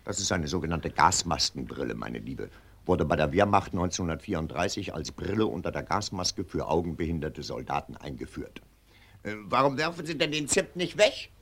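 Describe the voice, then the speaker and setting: A sharp, raspy, pompous old colonel. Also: male voice, sits low, sing-song, fairly quick, heavily acted. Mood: pedantic. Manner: clipped, blustering, military. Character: pompous, brisk older male colonel, condescending family patriarch. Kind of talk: German radio drama acting.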